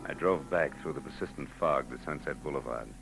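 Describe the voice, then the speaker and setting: A man's voice: very deep voice, a little fast, creaky, poor audio. An actor playing a hard-boiled private eye who narrates his case in a 1940s radio drama, wry and world-weary. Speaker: narrator and lead. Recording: old radio broadcast with background music.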